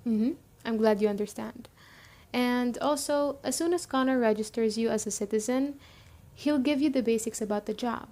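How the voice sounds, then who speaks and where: Actress as apocalypse camp leader: young woman, higher-pitched yet mellow, matter-of-fact, welcoming, conversational roleplay audio. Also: lightly acted. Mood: businesslike, helpful. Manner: conversational, hesitant pauses, explanatory. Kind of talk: roleplay ASMR audio drama, speaking to the listener.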